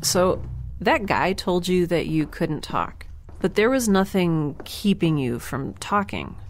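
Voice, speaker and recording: A woman's voice: lowish voice, animated, slow. A woman playing a blunt, naive young scientist with a sarcastic, matter-of-fact manner in a naturalistic audio drama. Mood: curious, blunt.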